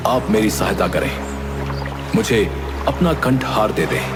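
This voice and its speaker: A man's voice: on the low side, lively intonation, unhurried. Man voicing a cunning, vengeful monkey over music. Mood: pleading, resolute. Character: cunning vengeful male monkey, smooth-talking and deferential to kings. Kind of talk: scripted cartoon story dialogue in formal Hindi.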